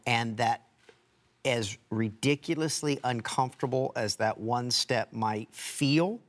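A man co-hosting a talk show interview, halting and searching for words as he asks questions. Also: mid-range voice. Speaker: co-host.

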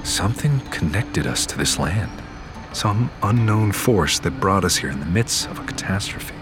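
Audio drama lead: haunted, weary man narrating, very low voice, solemn and measured, music underneath. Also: animated. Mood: grave, wondering. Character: weary, haunted man recounting his perilous journey. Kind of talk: audio fiction narration and acting.

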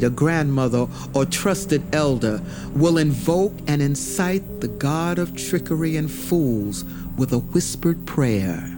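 An actor playing a solemn storyteller of ancient sacred lore, speaking in a measured, reverent and dramatic way. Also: male voice, mid-range voice. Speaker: storyteller narrator. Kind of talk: solemn scripted mythic narration. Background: prominent music.

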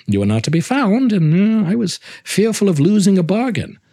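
A man voicing a sly, vengeful nobleman who feigns effusive warmth and coaxing concern in a dramatic gothic reading. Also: sits high, big pitch swings, moderate pace, pitched above the performer's own voice, full character voice. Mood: feigned hesitation. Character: sly, falsely solicitous vengeful nobleman, flattering and smiling.

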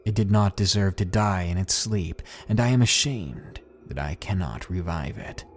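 A man voicing a despairing school janitor writing his farewell note, speaking slowly and hushed, sometimes dropping to a whisper. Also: sits low, close to an everyday voice. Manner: slow, hushed, breaking into whispers. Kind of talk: dramatic horror story reading. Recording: soft music behind.

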